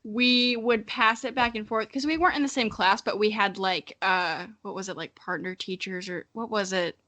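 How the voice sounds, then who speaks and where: A woman's voice: medium pitch, normal speed, sharp tone. A woman in her early twenties, an informal, giggly podcast co-host who chats casually and reads aloud. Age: early 20s. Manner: giggly, informal, often breaking into laughter. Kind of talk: casual chat and dramatic reading of childhood writing.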